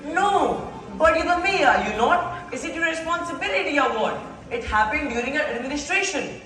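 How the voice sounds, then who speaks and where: A high, sharp-voiced alderman, accusing and self-interested, in a school verse play , acted by a student. The hall echoes heavily and faint music sits behind. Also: lively intonation, moderate pace, far from the mic. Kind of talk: rhymed verse stage acting.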